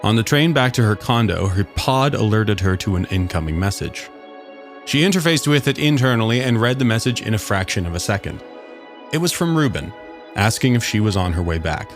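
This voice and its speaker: A man's voice: on the low side, very animated, brisk, soft background music. A man reading a scripted story aloud as its narrator.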